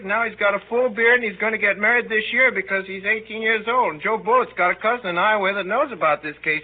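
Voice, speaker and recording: An actor playing an earnest, know-it-all teenage boy in a 1930s radio comedy, rambling on insistently. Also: high-pitched. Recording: muffled old 1930s radio broadcast.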